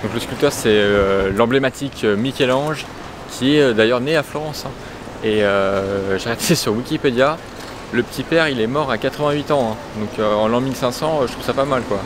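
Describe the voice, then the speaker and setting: A man's voice: medium pitch, fairly quick. A young man chatting casually and jokily in a travel vlog on a city square, with frequent hesitations. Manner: relaxed, hesitant, jokey. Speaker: travel vlogger. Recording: street noise behind.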